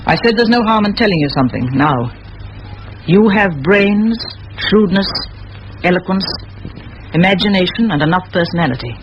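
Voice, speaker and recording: An actress playing a determined middle-aged schoolmistress in a vintage radio play, speaking in firm, measured, eloquent phrases. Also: female voice, lowish voice, lively intonation, lightly acted. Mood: earnest, impassioned. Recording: muffled old radio recording, faint hiss.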